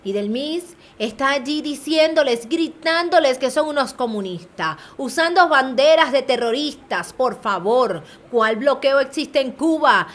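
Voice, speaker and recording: A woman's voice: high voice, animated, a little slow, hardly any pauses. A woman hosting a news channel, reading commentary in an indignant, rallying tone. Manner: indignant, urging viewers.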